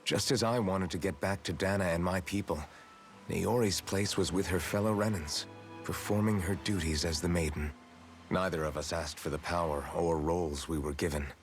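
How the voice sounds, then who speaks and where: A man's voice: sits low, moderate pace, lightly acted. A man playing a guilt-ridden, brooding young hero in a video game, speaking in a somber, reflective way. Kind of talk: video game voice acting, dramatic dialogue. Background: game music.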